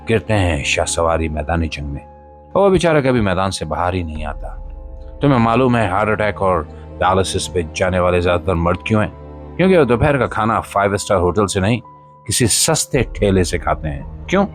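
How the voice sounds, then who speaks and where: A man narrates a scripted spoken-word monologue in a solemn, emphatic, rhetorical manner, with short pauses between phrases. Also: low-pitched. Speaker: podcast host and voiceover narrator. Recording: voice-over with music behind.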